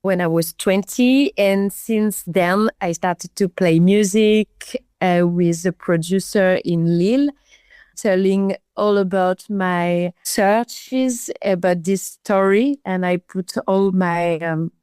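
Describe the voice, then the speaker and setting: Smooth-voiced woman musician. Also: medium pitch, takes it slowly, a little mellow, no echo. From northern France. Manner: earnest, searching for words. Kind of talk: casual interview.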